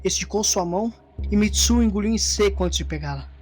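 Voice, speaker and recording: A woman's voice: mid-range voice, fairly quick, darkish tone. A woman narrator reading a scripted story in a dramatic storytelling style. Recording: music under the voice. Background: background music.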